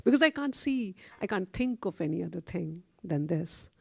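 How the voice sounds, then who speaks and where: A woman's voice: fairly low pitch, lively intonation, normal speed, vocal fry, slightly rough, no room sound. A woman on a discussion panel, answering a question in an earnest, reflective way.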